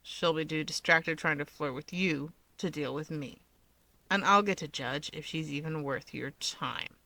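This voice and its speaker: A woman's voice: deep voice, measured pace, sharp tone, exaggerated voice. A woman voicing a bossy, sardonic young male villain and big brother in a story reading, dryly threatening and teasing. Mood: smug, dry.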